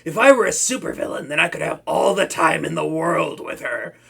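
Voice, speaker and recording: An actor playing a shy, soft-hearted young male supervillain who stammers nervously in an audio roleplay. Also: on the high side, animated, a little fast, vocal fry, husky, full character voice. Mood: wistful.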